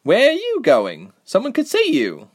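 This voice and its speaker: A man's voice: high voice, huge pitch swings, moderate pace, pitched above the performer's own voice, exaggerated voice. A man voicing a bold, impulsive young woman adventurer in an audiobook, emphatic and insistent but loyal. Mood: alarmed. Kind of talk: audiobook character voice.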